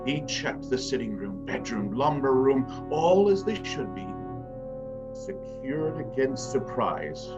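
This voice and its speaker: Man, story narrator, unhurried, dramatic, suspenseful, loud guitar music behind. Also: mid-range voice, sing-song, highs cut off.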